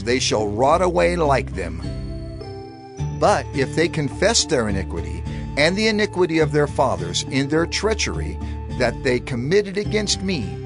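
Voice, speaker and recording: Declamatory man reading scripture over music. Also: medium pitch. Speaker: scripture reader. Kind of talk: solemn dramatized scripture reading. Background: background music.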